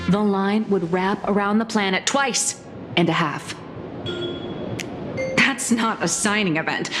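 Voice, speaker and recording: An actress playing a sly, boastful young female comic artist in a video game, glib and playfully mock-formal. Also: medium pitch. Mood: breezy. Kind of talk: animated game voice acting. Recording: reverberant studio voice over game music.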